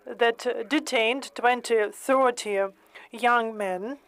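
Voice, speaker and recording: A woman hosting and interpreting into English, speaking carefully with many pauses and fillers. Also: fairly high pitch, steady tone, fairly bright tone, poor audio. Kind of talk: slow consecutive interpreting in English.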